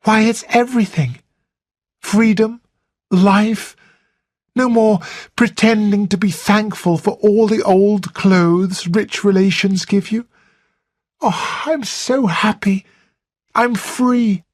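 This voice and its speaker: A reader voicing Flora, a cheerful young woman, with a raised, excited voice. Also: medium pitch, slow, dry recording, studio quality, pitched above the performer's own voice. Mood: elated.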